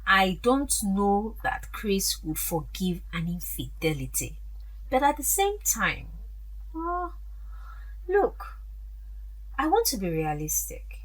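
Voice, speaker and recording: A woman playing a frank, protective older mother in a radio drama, speaking deliberately and expressively. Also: medium pitch, big pitch swings, poor audio. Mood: frank, concerned.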